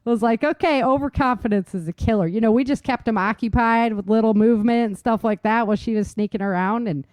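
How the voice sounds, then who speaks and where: A woman's voice: on the high side, fast, few pauses, darkish tone, dry recording. A woman hosting a talk show in casual chat, telling things informally with slangy emphasis and repetition. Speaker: talk show host, author. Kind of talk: casual chat on survival tips.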